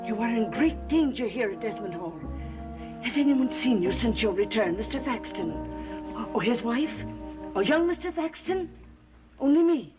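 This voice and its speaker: An actress playing an anxious, devoted female servant in a gothic soap opera, speaking gravely and formally. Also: on the high side, expressive, normal speed, lightly acted. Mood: urgent, hushed. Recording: dramatic music behind the voice.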